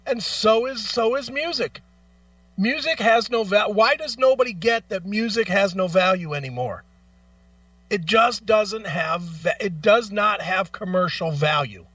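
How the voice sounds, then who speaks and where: A man's voice: high-pitched, measured pace, a bit bright, no room sound, far from the mic, dull audio. A man hosting a talk show, ranting casually in a blunt, profane, emphatic way and arguing through rhetorical questions.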